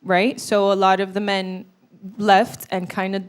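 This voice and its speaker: Soft-spoken woman with a smooth voice. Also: mid-range voice, flat delivery, measured pace. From Brazil. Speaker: guest. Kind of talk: interview, personal testimony.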